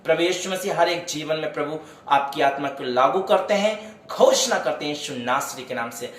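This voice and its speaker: A man's voice: on the high side, normal speed, fairly bright tone, roomy sound. A man preaching a devotional sermon, fervently urging his beloved listeners and then praying aloud for healing. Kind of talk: sermon with worship singing and prayer.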